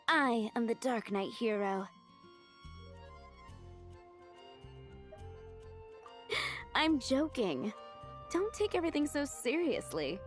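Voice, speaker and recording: A woman playing a playful tavern boss in a video game, teasing, breezy and wry, with a nonchalant manner. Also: a little high.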